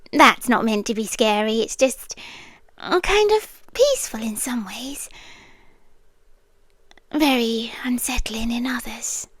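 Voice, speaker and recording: A British woman voicing a young, obsessive yandere girl in an intimate ASMR roleplay, sweetly unsettling and lovestruck, speaking softly and hesitantly and drifting into whispers. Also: high-pitched, no room sound. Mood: nervous, coaxing. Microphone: close microphone, dry.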